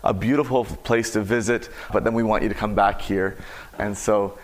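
A man's voice: fairly low pitch, steady tone, moderate pace. A man who is the host pastor, introducing a guest and praying over him in an earnest, repetitive way. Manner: earnest, repetitive prayer phrasing. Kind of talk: congregational prayer.

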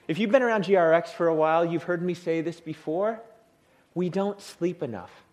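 A male pastor giving a sermon in church, with a soothing voice and a humorous, lively manner of delivery. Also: on the high side, moderate pace. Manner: lively, humorous, acts out tired people.

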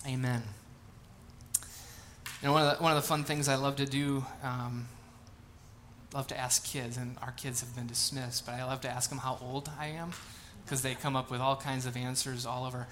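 Bright-voiced pastor in his thirties. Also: mid-range voice, flat delivery, moderate pace, a little hiss or hum. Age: 30s. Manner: casual, chatty, self-deprecating storytelling. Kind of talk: sermon with scripture reading and prayer. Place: church sanctuary.

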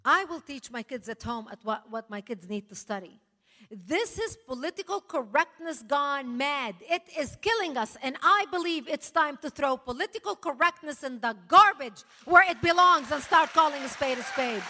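A woman with a high, sharp, forceful voice, who learned English after other languages, delivers a fiery public speech before a live audience. She hammers her points home with emphasis and a quick laugh. The sound rings with the long reverb of a large hall. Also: animated. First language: not English. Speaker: speaker at a political rally.

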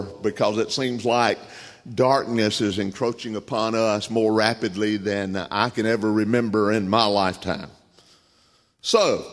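A man with a Southern US accent preaching a sermon, telling folksy stories with frequent pauses and filler words. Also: lowish voice. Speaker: guest preacher. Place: church sanctuary. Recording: reverberant church sanctuary.

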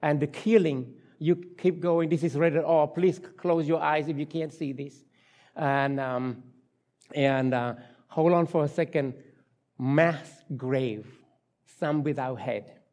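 Man, Cambodian accent, 60s, slightly high voice, halting church testimony, lots of fillers and restarts. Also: darkish tone. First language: Khmer. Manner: halting, many fillers and restarts, light self-deprecating laughs. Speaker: guest speaker at a church. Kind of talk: personal testimony to a congregation.

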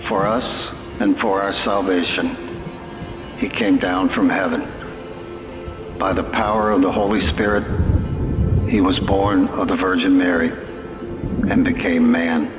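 Deep-voiced man slowly reciting a creed. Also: steady tone. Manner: slow, measured recitation. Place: church. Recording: roomy, dull recording with loud music.

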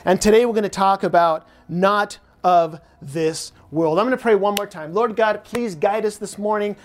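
A man's voice: high voice, moderate pace. Middle-aged American man, pastor, easygoing conversational sermon delivery. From United States. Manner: conversational preaching with repeated phrases. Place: church sanctuary.

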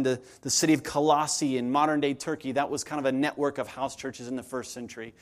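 Earnest man in his forties preaching. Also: fairly high pitch. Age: 40s. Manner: earnest, conversational preaching with repeated phrases. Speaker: pastor. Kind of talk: sermon.

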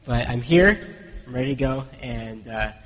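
A pastor preaching a conversational sermon in a church, hoarse and congested from a head cold, often asking the congregation questions. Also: male voice, mid-range voice, moderate pace, in a large hall.

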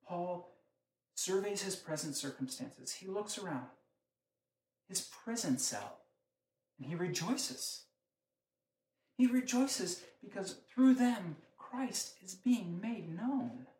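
A man's voice: a little high, a little mellow, slight background noise. A man preaching a sermon, teaching in a conversational way with frequent rhetorical questions. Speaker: pastor. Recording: echoey room.

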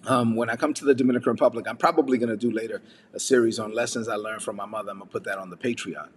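A man's voice: lowish voice, fairly even intonation, rapid-fire, in a large hall, a little hiss or hum. A man from the United States giving a casual devotional message, talking conversationally with frequent fillers and rhetorical questions. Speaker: preacher.

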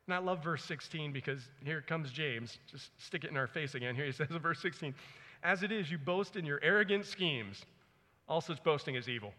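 Bright-voiced man preaching in a reverberant hall. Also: on the high side. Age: 40s. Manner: earnest, conversational, dramatizes his own thoughts. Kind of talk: sermon with personal stories. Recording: echoey hall with long reverb.

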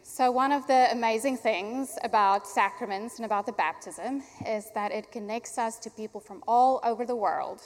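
A young adult woman pastor from Africa, an Afrikaans speaker, leading a baptism prayer in an earnest, warm way. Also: fairly high pitch, normal speed, hardly any pauses. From South Africa. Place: church sanctuary. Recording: long church hall reverb.

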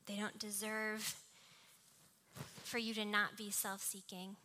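A woman's voice: mid-range voice, little pitch movement, slow, bright, forceful tone. A young woman preaching a sermon with personal anecdotes, in a small, cartoonish voice. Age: young adult. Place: live talk before a congregation.

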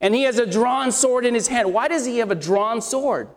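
A man's voice: extremely high pitch, lively intonation, normal speed. A man preaching an expository sermon with a scratchy voice, talking conversationally and asking his listeners questions. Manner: conversational, asks the congregation questions. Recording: long hall reverb.

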